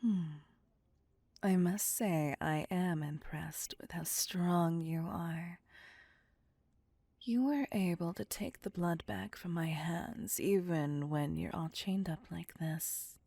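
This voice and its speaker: A woman playing a possessive, cocky vampire captor in an ASMR roleplay, with a breathy, husky, teasing and domineering whisper. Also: lowish voice, mellow, no room sound, exaggerated voice. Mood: teasing, smug. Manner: slow, teasing, seductive, domineering. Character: possessive, cocky, sadistic female vampire captor, playfully menacing. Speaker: voice actor performing audio roleplay.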